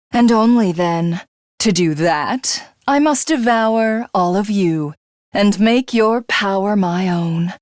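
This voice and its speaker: A haughty alien warrior woman from a video game, who hungers for godhood. She speaks slowly and grandly in a theatrical villain's manner, recorded very dry and close. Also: medium pitch, no room sound. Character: arrogant, power-hungry alien warrior woman craving godhood. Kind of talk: video game character voice acting.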